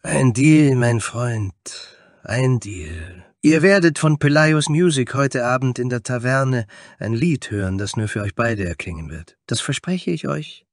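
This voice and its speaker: Tiefling bard played by a man: theatrical charmer, florid delivery, mid-range pitch, close dry sound. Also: expressive, measured pace, no room sound. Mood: charming. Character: flamboyant, smooth-talking male tiefling bard. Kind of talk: fantasy audio story character.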